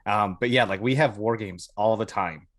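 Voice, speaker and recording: A man's voice: fairly low pitch, moderate pace, no room sound, clean studio sound. An American man casually telling stories as a podcast guest, speaking informally with some swearing and acted-out bits of dialogue. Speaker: podcast guest, Air Force veteran. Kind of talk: casual storytelling.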